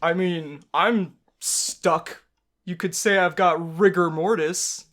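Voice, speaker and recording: A man's voice: high voice, fairly even intonation, takes it slowly, a bit bright. A man co-hosting a game playthrough with playful, quipping commentary. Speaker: gaming co-host.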